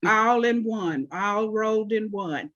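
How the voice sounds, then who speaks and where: A woman in her seventies, originally from Oklahoma, answering interview questions about her life in an unhurried way with frequent pauses. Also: medium pitch, a bit bright, dull audio. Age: 70s. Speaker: interview guest, artist.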